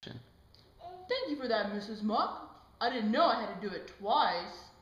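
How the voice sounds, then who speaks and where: A woman's voice: a little high, sing-song, a little slow, fairly bright tone, lo-fi sound, slight background noise. A woman voicing a curious, cheeky puppet police officer in an amateur educational skit, playful and inquisitive. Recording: echoey room recording.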